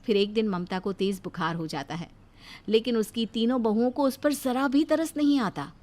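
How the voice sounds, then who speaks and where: A woman narrating a moral cartoon story in a steady, dramatic way. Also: on the high side, moderate pace.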